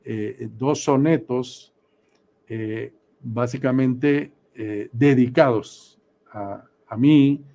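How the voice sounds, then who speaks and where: A man in his sixties giving reflective, deliberate interview answers with measured pauses. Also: medium pitch, dark tone, muffled recording. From Guatemala. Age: 60s. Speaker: interview guest. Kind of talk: reflective radio interview answers, recounting memories.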